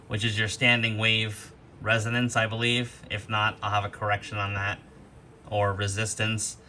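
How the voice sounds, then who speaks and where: Bright, forceful-voiced man demonstrating gear. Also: on the low side, slight background noise. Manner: explanatory, step-by-step instruction. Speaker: host of a gear demonstration video. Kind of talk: unscripted product walkthrough.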